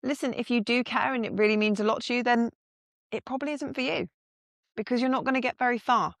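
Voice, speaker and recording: A woman's voice: a little high, fast, no echo. Woman, podcast co-host, upbeat and frank, dry studio sound. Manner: frank and upbeat, swears casually. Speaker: podcast co-host, fitness business owner. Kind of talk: casual podcast chat.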